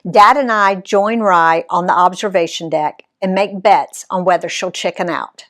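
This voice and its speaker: A woman reading her own travel story aloud in an expressive read-aloud style, giving the quoted people voices of their own. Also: fairly low pitch. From Alabama, USA. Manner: unhurried, lively reading that voices the quoted characters.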